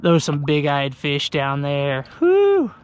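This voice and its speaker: Young guy, high-ish voice, casual fishing vlog from a boat on a lake, quick to get hyped. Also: dull audio, slight background noise. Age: young adult. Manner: chatty, excitable when fish bite. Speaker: fishing vlogger. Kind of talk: casual outdoor vlog commentary. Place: small boat on a lake outdoors. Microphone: action camera on the boat.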